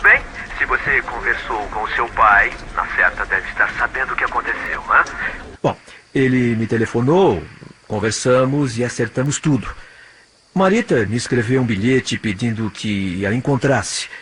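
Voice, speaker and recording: An actor playing a flippant, callous young boyfriend on the telephone in an audio drama, with a Brazilian accent and breezy, dismissive colloquial talk. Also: male voice, mid-range voice, moderate pace, bright, forceful tone, a little hiss or hum, close to an everyday voice. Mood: flippant, scornful. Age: young adult.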